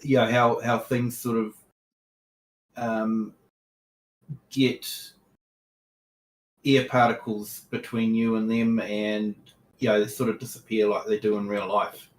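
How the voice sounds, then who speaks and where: A man's voice: fairly low pitch, level tone, reverberant, low-quality recording. A man casually chatting on a live game stream, reading chat aloud with lots of ums. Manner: unhurried, reads chat aloud, frequent ums.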